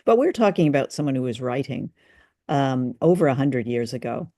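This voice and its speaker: A woman's voice: very deep voice, lively intonation, a little fast, mellow, dry recording, clean studio sound. A woman co-hosting a podcast, chatting casually and explaining facts with a lightly teasing touch. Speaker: podcast co-host. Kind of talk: casual informative chat.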